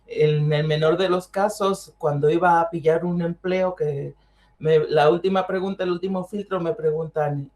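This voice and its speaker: A woman's voice: low-pitched, a little mellow. Candid Mexican woman on a panel. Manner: candid, conversational, many fillers and restarts. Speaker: panel guest. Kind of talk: online panel discussion.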